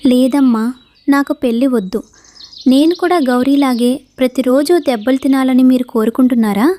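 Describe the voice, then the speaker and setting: A woman's voice: high voice, steady tone, moderate pace, warm tone, slight background noise, natural voice. A woman voicing an anxious, earnest young female bird in a cartoon story. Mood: fearful. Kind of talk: cartoon moral story dialogue.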